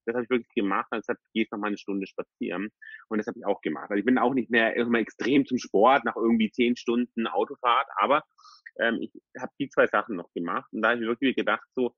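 A man's voice: on the low side, no room sound. Male podcast co-host, slightly dull dry audio. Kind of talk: casual personal storytelling on a podcast.

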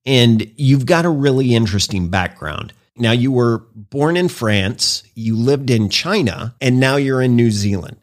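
A man hosting a podcast, introducing and interviewing a guest in an upbeat, polished presenter manner. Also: medium pitch. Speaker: podcast host.

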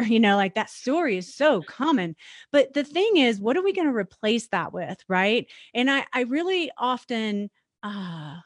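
A woman in her forties hosting an interview, reflecting and thinking out loud in a casual, halting way. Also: medium pitch, no echo. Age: 40s. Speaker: podcast host.

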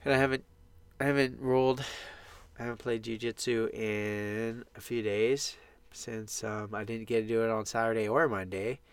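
A man's voice: medium pitch, clean tone, no echo. Stuffy-nosed man rambling on a podcast. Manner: rambling, upbeat, with many restarts. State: stuffed up from allergies. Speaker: podcast host. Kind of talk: casual solo podcast monologue. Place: small home sound booth.

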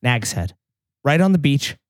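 A man hosting a casual group chat, his voice going hoarse, loose and swearing as he laughs. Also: mid-range voice, sing-song, measured pace, no echo, clean studio sound. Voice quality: husky. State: losing his voice. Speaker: podcast host. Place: wood-panelled vacation room.

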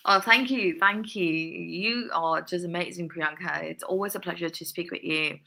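Bright, lively woman guest speaking earnestly. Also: lowish voice, moderate pace, gravelly. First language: English. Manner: earnest, laughs often between phrases. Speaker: guest, workplace diversity consultant. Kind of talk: podcast interview answers.

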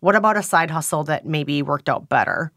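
A woman's voice: sits low, animated, brisk, a bit bright, no echo, clean studio sound. A woman hosting a podcast, leading the talk with upbeat questions and explanations. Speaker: podcast host. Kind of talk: casual chat.

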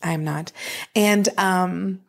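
This is a woman talking informally as an interview guest. Her pitch sits a little low for a woman, and her voice has a clearly creaky, gravelly fry. The delivery is conversational, like someone recalling memories. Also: fairly even intonation, takes it slowly. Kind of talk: casual conversational interview, reminiscing.

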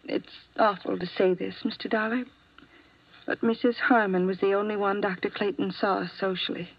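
An actress playing a weary, nervous nurse who talks quietly and hesitantly in a 1950s radio drama. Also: female voice, medium pitch, steady tone, moderate pace, natural voice. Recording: dry, muffled old radio broadcast.

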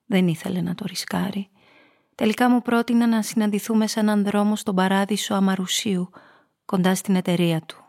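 A woman's voice: mid-range voice, steady tone, gravelly, a little mellow, natural voice. An actress playing a frightened female blackmailer who tells her own story intimately in a scripted radio play. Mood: tense, measured. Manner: intimate, confessional first-person storytelling.

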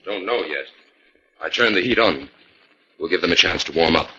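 An actor playing a calm, decisive scientist detective in a 1940s radio drama, speaking with measured authority. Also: male voice, low voice, slightly rough, exaggerated voice. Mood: investigating. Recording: dull 1940s radio recording.

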